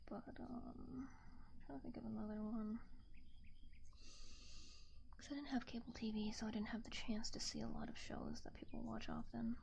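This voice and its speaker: A woman livestreamer with a soft, gentle, half-whispered voice, chatting casually in ASMR style and musing aloud in a relaxed, rambling way, close to the microphone. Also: fairly high pitch, normal speed, gravelly, pauses often. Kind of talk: casual ASMR stream chat. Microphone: close binaural ASMR microphone.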